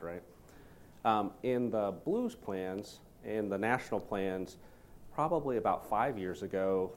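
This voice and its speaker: A man in the audience giving a measured comment, explaining market changes with frequent pauses. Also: low-pitched, a little mellow, slight background noise. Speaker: audience member. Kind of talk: commenting in a discussion.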